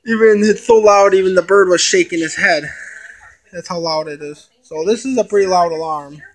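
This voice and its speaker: A woman giving a casual product demonstration, haltingly thinking aloud as she reads out menu items. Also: fairly low pitch, low-quality recording. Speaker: amateur product reviewer.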